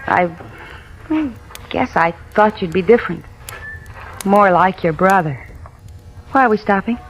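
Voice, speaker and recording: A woman's voice: mid-range voice, expressive, a little slow, slight background noise, rough recording. An actress playing a wry, tired, plucky young working woman in an old radio drama, with plain-spoken delivery. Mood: wary, hurt. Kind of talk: 1940s-50s radio drama acting, casual banter. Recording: vintage radio drama, faint music.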